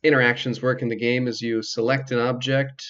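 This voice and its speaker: A man's voice: medium pitch, little pitch movement, fairly quick, fairly bright tone. A man giving casual, hesitant commentary as he plays a video game, thinking aloud. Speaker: gameplay video host. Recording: slightly dull lo-fi mic, clicking.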